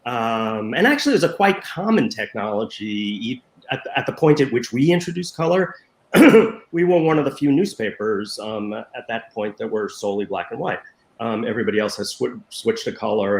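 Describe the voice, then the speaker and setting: A man's voice: mid-range voice, echoey room. An older man, a former newspaper design director, answering interview questions in a hesitant way full of ums and restarts over a video call.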